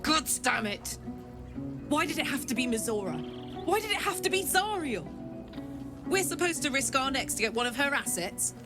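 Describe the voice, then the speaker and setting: Fed up fiery game heroine, high n really animated, emphatic. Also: female voice, moderate pace, music in the background. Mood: exasperated. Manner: indignant, emphatic. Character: exasperated, fiery female companion in fantasy adventure. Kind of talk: video game character acting.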